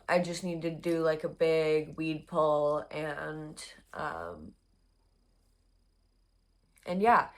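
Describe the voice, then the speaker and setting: A young woman chatting casually in a crochet vlog, her voice hoarse and congested, rambling with many fillers. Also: fairly low pitch, fairly bright tone. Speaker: crochet vlogger.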